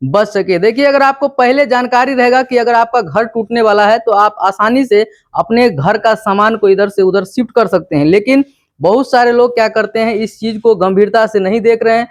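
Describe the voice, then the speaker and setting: Very high-pitched man with a Bihari accent. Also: moderate pace. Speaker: presenter of a local news explainer channel. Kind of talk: informal news explainer to camera.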